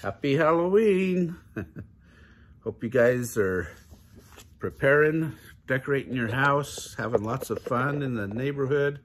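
A middle-aged man with a husky voice reading a children's storybook aloud, with casual asides and questions to the young listeners. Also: mid-range voice, expressive, slow pace, pauses often, a little hiss or hum. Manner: chuckles often, asks the listening children questions. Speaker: grandpa reading picture books aloud for kids. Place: living room, indoors.

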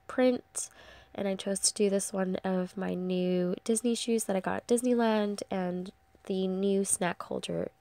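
A woman's voice: mid-range voice, vocal fry, warm tone, dry recording. Stuffy-nosed young woman crafting vlogger. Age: young adult. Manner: chatty, rambling, many ums. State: congested, stuffy from a cold. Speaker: crafting channel host. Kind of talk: voice-over craft tutorial.

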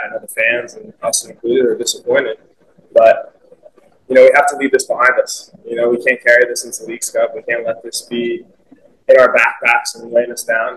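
A man's voice: medium pitch, a little hiss or hum, poor audio. A young male soccer player answering press questions after a loss, upbeat and candid, with lots of "you know" fillers. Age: young adult. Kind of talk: post-match press conference answers. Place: team media room, indoors.

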